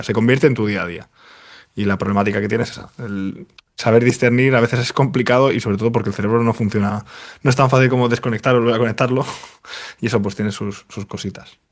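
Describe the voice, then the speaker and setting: A man on a casual podcast panel, explaining a point with examples. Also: fairly low pitch.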